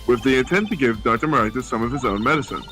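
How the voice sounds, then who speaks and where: A man's voice: mid-range voice, rapid-fire, a bit bright, a little hiss or hum, lightly acted. A man voicing an earnest young male defense attorney reasoning aloud in a fan-voiced game.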